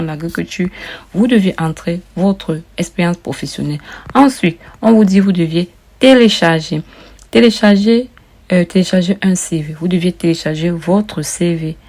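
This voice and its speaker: A woman with a lowish, gravelly, mellow voice talks viewers through an online form. She repeats herself often and calls her audience friends. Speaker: immigration advice vlogger. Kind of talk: casual walkthrough of an application form.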